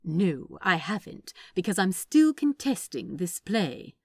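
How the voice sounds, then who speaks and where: Prim male angel voiced by a woman: slow, precise, prissy, indignant but fond, very expressive. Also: lowish voice, dry recording, studio quality, exaggerated voice. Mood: prim protest. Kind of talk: fan fiction dialogue reading.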